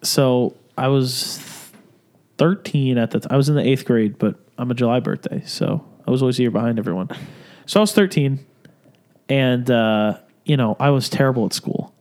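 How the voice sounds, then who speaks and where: A young man from Syria, a podcast co-host telling a story in a relaxed, joking way, laughing and swearing casually. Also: medium pitch, normal speed.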